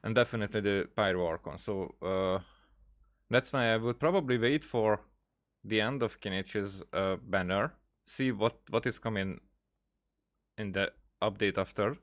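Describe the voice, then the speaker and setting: Low-voiced man giving casual game commentary. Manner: halting, thinking aloud, repeats words. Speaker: gaming streamer.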